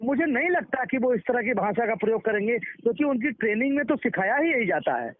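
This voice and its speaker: A man's voice: extremely high pitch, lively intonation, fairly quick, no room sound. A man from Uttar Pradesh answering interview questions over the phone, emphatic and repeating his words and phrases for weight. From Uttar Pradesh, India. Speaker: interview guest, performing artist. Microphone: phone call. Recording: thin, muffled phone line.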